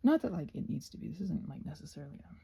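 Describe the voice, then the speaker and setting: Breathy, husky woman rambling softly. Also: low voice, very animated, rapid-fire. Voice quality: breathy, often close to a whisper. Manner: musing aloud to herself, playful and whimsical. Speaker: host of a craft ramble ASMR channel. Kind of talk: soft ASMR rambling while painting.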